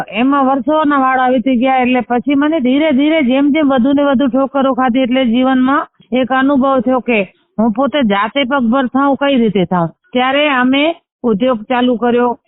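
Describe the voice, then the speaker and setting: A woman's voice: fairly high pitch, no echo. A woman with a Kutchi Gujarati accent giving an earnest, spontaneous personal account, repeating phrases as she goes. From Kutch, Gujarat, India. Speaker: artisan entrepreneur, interviewee. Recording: narrow tinny phone-like line.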